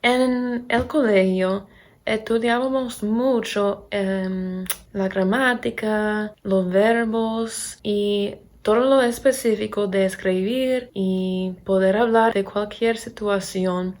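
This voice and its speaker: A young American woman with an American accent, speaking as a second-language learner in a casual vlog, halting and searching for words. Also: medium pitch, fairly even intonation, a little mellow. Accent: American English accent in Spanish. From Pennsylvania, USA. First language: English. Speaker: vlogger and English teacher. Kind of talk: casual chat to camera.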